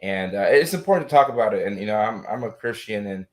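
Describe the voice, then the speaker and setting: Low-voiced man hosting, voice strained. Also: expressive, quick pace. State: vocal trouble, strained voice. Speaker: host of a live talk show. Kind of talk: casual, supportive interview chat.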